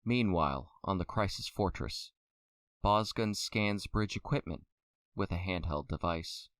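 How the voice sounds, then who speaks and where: Deep guy narrating a scripted audio drama, dry close sound. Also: measured pace, a bit bright, no echo.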